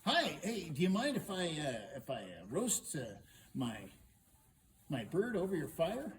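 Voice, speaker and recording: Guy putting on a eager goofy simple lad from a fairy tale, high voice, swings all over. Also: brisk, a little hiss or hum. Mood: eager, earnest. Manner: excitable, earnest, boastful of odd finds.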